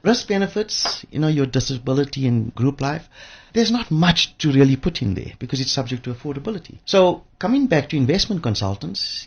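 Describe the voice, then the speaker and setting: Animated, husky man. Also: on the high side, muffled recording. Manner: emphatic, repeats words for stress. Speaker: studio guest, pension fund expert. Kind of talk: conversational expert interview.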